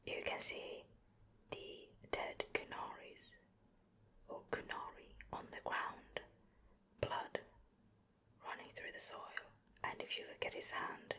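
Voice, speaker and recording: Woman whispering asmr show and tell, slow n chatty, crisp bright hiss to it, right up on the mic. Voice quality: soft breathy whisper. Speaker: ASMR video creator and host. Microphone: close ear-to-ear stereo microphone.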